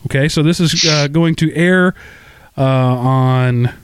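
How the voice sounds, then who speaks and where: A man's voice: a little high, slow pace, a little hiss or hum. A man hosting a casual tech podcast, chatty and self-deprecating, with lots of fillers and restarts. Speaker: podcast host.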